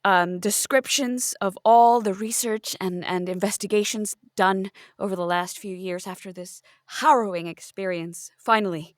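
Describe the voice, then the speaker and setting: A woman's voice: medium pitch, moderate pace, hardly any pauses, no room sound. A woman improvising in a comedy roleplay, recorded close in a studio. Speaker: player in a roleplay podcast.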